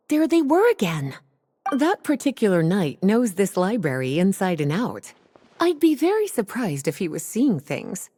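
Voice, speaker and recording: Actress as a mellow, teasing woman librarian mage. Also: medium pitch, natural voice. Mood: musing, intrigued. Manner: teasing, leisurely storytelling. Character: teasing, unhurried adult woman librarian mage, playful and knowing. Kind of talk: video game voice acting.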